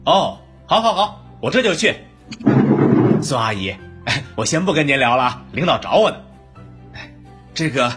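A devoted young man, played by an actor, chats in a radio drama with lively intonation. He is polite and considerate, friendly in manner, with faint music behind him. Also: a little high, normal speed, pauses often. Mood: hurried, polite. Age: young adult. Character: polite, devoted young male office worker.

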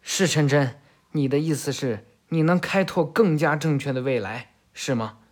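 A man voicing a young male swordsman who speaks quietly and sounds resigned. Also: fairly high pitch, moderate pace, lightly acted.

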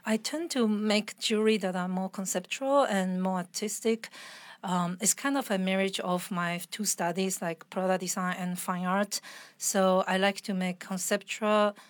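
A woman's voice: medium pitch, fairly even intonation, moderate pace, dry recording. A woman with a mixed international accent speaks as a guest in a conversational interview. She is earnest and reflective, explaining her thoughts expressively. The recording is very dry, with little room sound.

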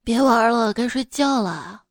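A woman's voice: on the high side, expressive, measured pace, dry recording, clean studio sound. A woman playing a playful, teasing mother who coaxes a stubborn child in a comic bedtime sketch. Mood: coaxing, amused. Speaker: storyteller and performer. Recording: music behind the voice.

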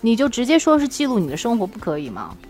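A woman's voice: a little high, wildly swooping pitch, fast, a little mellow, faint music behind. A woman co-hosting a podcast in casual chat, with a teasing, inquisitive way of talking. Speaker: podcast co-host.

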